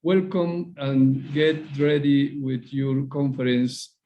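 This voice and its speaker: A man from Bolivia giving a slow, halting welcome in English as the organizer. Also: mid-range voice, dull audio. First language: Spanish. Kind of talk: formal welcome.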